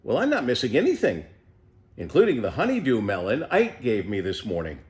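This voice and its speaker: A man reading a children's storybook as an unhurried, contented eighty-year-old pet tortoise who savours everything with wonder. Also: mid-range voice, huge pitch swings. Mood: serene, savouring. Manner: savouring, leisurely, full of wonder.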